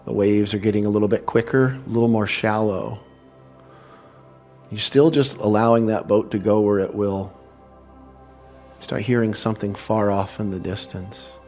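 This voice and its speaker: A man with a fairly low voice leads a guided meditation. He speaks in unhurried, soothing phrases and leaves long pauses between them. Music plays clearly behind his voice. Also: steady tone, a little mellow. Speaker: meditation leader and spiritual speaker.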